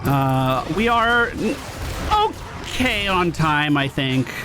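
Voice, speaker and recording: A man chatters through a gameplay session in a loose, casual way, swearing now and then. His pitch sits a little high and swings around a lot. Game music plays steadily behind him. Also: very animated, drawn-out words, rough recording. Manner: chatty, swears and laughs while playing. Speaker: gaming video creator.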